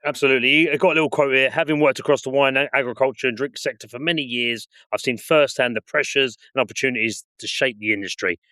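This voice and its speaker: A man's voice: mid-range voice, fast, few pauses, sharp tone, dry recording. A man co-hosting a podcast, upbeat and friendly, chatting and reading out news items. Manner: friendly, upbeat, says mate often. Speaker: podcast co-host. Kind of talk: casual chat, reading news.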